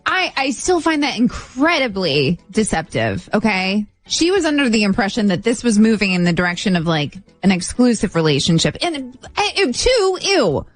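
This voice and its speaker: Female American co-host, very expressive mid-range voice, opinionated banter, music underneath. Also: medium pitch, moderate pace, few pauses. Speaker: radio show co-host.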